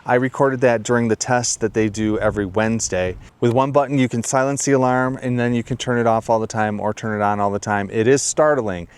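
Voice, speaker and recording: A man's voice: medium pitch, fairly even intonation, fairly quick, hardly any pauses, smooth. A man hosting a video, giving a casual, plainspoken product review and explaining things as he goes. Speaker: video host.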